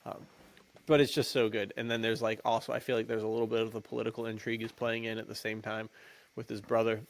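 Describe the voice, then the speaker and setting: A man's voice: on the low side, level tone, quick pace, vocal fry. A man co-hosting a podcast, chatting casually and informally about films in an easygoing, appreciative way. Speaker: podcast co-host. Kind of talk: casual film discussion chat.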